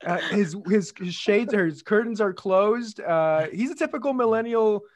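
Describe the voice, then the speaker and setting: A man's voice: high-pitched, animated, moderate pace. A man with a Guatemalan accent hosting a podcast in casual, bantering talk. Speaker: podcast host.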